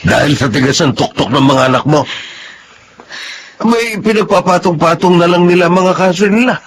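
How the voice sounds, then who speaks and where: An actor playing a stern, fed-up Filipino police officer and uncle, blunt and firm, in a radio drama. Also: male voice, sits high, moderate pace, slight background noise. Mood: stern, disapproving.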